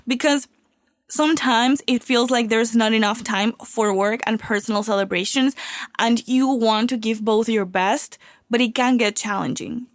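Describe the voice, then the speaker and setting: Woman host, recovering from a cold; warm confiding podcast delivery, dry close mic. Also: a little high, dry recording. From Venezuela. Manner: upbeat, heartfelt, confiding. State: getting over a cold. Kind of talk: solo podcast talk.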